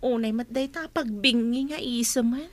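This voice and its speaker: Very high-voiced, troubled young woman. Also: dry recording. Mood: sad, pensive. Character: gentle, troubled young runaway woman hiding her surname. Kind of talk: Ilocano radio drama acting.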